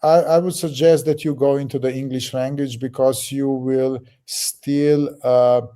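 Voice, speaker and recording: A man with a very dark, mellow voice explains a project to a group. He talks haltingly, restarts words and pads with fillers. His pitch sits in an ordinary middle range. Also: little pitch movement. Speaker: project leader answering questions.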